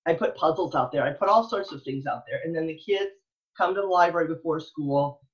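Muffled lo-fi recording, American woman, middle-aged, earnest, emphatic, halting conversational delivery, enthusiastic. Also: deep voice. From Texas, United States. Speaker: elementary school librarian, interview guest.

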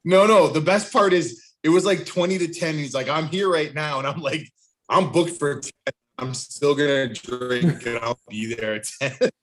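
A man's voice: fairly high pitch, moderate pace. A man bantering casually as a panelist on a group podcast. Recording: faint background noise, clicks.

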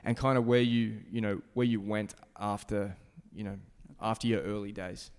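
A man's voice: on the low side, little pitch movement, moderate pace, darkish tone, clean tone, big-room sound. A man hosting a podcast in a casual, laid-back and enthusiastic way, with slangy wording. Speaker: podcast host.

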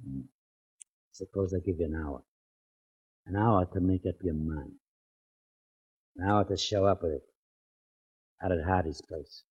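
An actor playing a tough, self-assured racketeer who talks in slangy, deliberate threats, in 1940s radio crime drama. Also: male voice, low-pitched, darkish tone, husky. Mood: threatening. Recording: muffled old 1940s radio recording.

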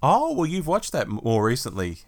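A man co-hosting a podcast in casual discussion, speaking in a measured, agreeable and slightly tentative way. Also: mid-range voice, wildly swooping pitch. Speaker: podcast co-host.

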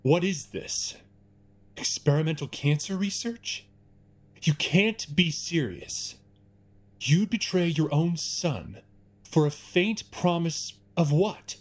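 An actor playing an arrogant, scornful rich chief executive, indignant and theatrical, in an audio drama. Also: male voice, on the high side, darkish tone. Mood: bitter contempt.

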